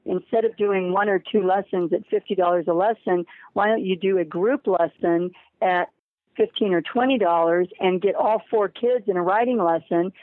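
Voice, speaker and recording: A woman's voice: lowish voice, no room sound. A woman being interviewed, explaining her program casually and emphatically. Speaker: interview guest. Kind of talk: casual spoken pitch for a program. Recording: tinny narrowband phone line.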